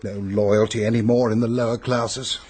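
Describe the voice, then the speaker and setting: An actor in a radio drama playing a pompous, peevish, self-pitying upper-class English hypochondriac who blusters and complains. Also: male voice, fairly low pitch, level tone, normal speed, a little mellow, breathy edge. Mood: indignant, self-pitying.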